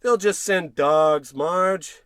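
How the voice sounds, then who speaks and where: Blustering working-class husband played by a man, slightly high pitch, money-worried and irritable, old-time radio comedy delivery. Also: drawn-out words, a bit bright, clear, resonant tone, dry recording, clean studio sound, heavily acted. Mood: ranting, indignant. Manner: blustering, sarcastic, grumbling. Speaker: actor in scripted comedy podcast.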